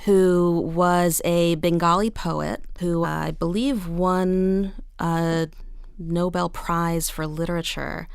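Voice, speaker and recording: A woman's voice: lowish voice, creaky, clear, resonant tone, no echo, clean studio sound. A woman answering interview questions as a guest playwright, conversational, explaining things in a thoughtful way.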